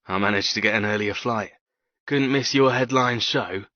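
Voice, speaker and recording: A woman voicing a doting, proud middle-aged father in an audiobook, affectionate and gently persuasive in manner. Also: extremely low pitch, moderate pace, dry recording, muffled recording, voice pushed down. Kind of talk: audiobook character dialogue.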